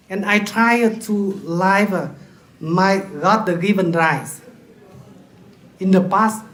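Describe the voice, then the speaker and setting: Vietnamese priest guy, pretty high voice, formal talk, roomy hall sound. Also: fairly bright tone. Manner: slow and deliberate, formal. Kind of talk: prepared conference speech. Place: large hotel conference hall. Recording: echoey hall.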